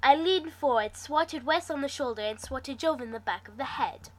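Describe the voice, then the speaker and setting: A woman reading fan fiction aloud in an animated, theatrical way, stumbling over words and restarting now and then. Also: high voice, normal speed. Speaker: amateur fan fiction reader. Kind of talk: animated story reading with acted dialogue.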